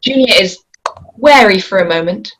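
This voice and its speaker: A woman's voice: mid-range voice, animated, normal speed. A woman reading stage directions as scripted narration at a table read. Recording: faint noise and clicks.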